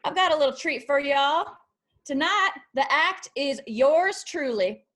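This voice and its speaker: A woman's voice: high voice, lively intonation, a little slow, a bit bright. A woman playing a brash but guarded young country singer who tends bar, in a screenplay table read with folksy phrasing. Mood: brash, playful.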